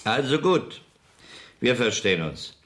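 A menacing, smug gangster boss, swooping delivery. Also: male voice, medium pitch, sing-song, measured pace, fairly bright tone. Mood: cold, domineering. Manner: coolly threatening, mocking, commanding. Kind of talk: 1970s German radio crime drama acting.

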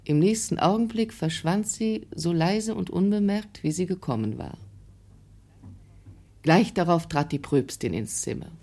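Low, mellow, husky woman narrator. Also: animated, unhurried. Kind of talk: scripted literary narration in a radio drama.

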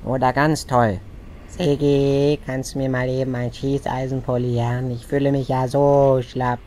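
A man's voice: mid-range voice, level tone, measured pace, a little mellow, a little hiss or hum, close to an everyday voice. A man playing a sarcastic, nagging animal companion in a fantasy parody audio drama, with mocking whiny delivery.

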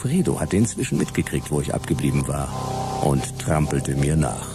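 Very deep, gravelly detective. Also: male voice, expressive, normal speed, loud music behind the voice. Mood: wry, dry. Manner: dry, sardonic. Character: wry, hard-boiled male private detective narrating. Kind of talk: noir radio play narration.

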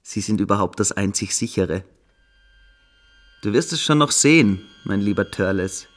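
A man's voice: lowish voice, animated, moderate pace, faint music behind, natural voice. An actor playing a scornful, dogmatic older schoolboy who lectures his friend condescendingly in a German literary radio drama. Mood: smug, condescending.

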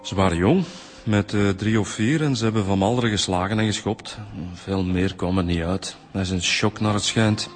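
A man's voice: lowish voice, moderate pace, few pauses, lightly acted. A man playing a chatty, easygoing police detective in a radio drama, informal, with a Flemish accent. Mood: matter-of-fact, informal. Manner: informal, colloquial. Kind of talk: naturalistic radio drama acting.

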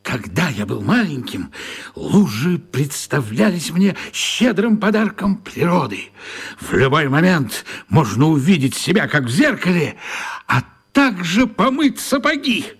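An actor playing an absent-minded, dreamy, childlike writer who mutters musings to himself in a children's radio play. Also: male voice, fairly high pitch, sing-song, unhurried, fairly bright tone, breathy edge, heavily acted.

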